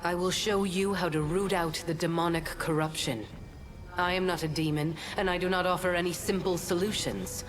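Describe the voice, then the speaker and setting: A stern goddess of justice in a fantasy game, played by a woman. Her voice sits a little low and is measured, noble and solemn. Music is clearly audible behind her. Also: fairly even intonation, close to an everyday voice. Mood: solemn, resolute. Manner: grave, measured, formal. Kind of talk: video game voice acting.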